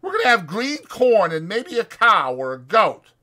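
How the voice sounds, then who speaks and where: High-pitched, excitable old farmhand. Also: male voice, moderate pace, heavily acted. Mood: defiant, fervent. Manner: rustic, ungrammatical drawl, indignant and insistent. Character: old crippled swamper man, stubborn, excitable dreamer. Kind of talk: dramatized audiobook character voice.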